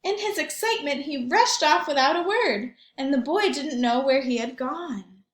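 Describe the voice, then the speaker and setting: Lady teacher doing storytime for young kids, highish voice, expressive, kinda echoey room. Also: moderate pace. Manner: animated storytelling, addresses young children with questions. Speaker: primary school teacher reading aloud to pupils. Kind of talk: expressive children's story read-aloud with chatty asides.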